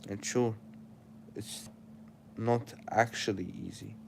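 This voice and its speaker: A man's voice: fairly low pitch, steady tone, slow pace, creaky, darkish tone, a little hiss or hum. A young man with an Arabic accent, sleepy and tired, preaching casual life advice straight to his livestream chat.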